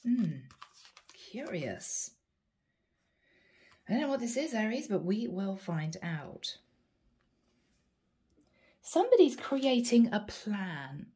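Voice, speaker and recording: A woman with a head cold giving a tarot card reading, musing softly and thinking aloud as she goes. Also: medium pitch, big pitch swings, slow, long pauses. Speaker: tarot reader.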